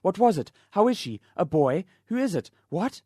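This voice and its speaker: Dude doing a panicky young dad, high strained voice, pleading. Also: male voice, sing-song, a little fast, a little mellow, dry recording, clean studio sound. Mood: anxious. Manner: anxious, gasping, pleading. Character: nervous young gentleman, anxious new father.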